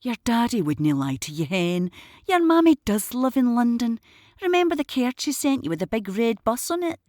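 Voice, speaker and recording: A woman's voice: on the high side, very animated, moderate pace, darkish tone, no room sound, studio quality. An actress playing a blunt but tender, protective older mother figure with a Glaswegian accent in an audio drama. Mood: soothing, protective. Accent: Glaswegian Scottish.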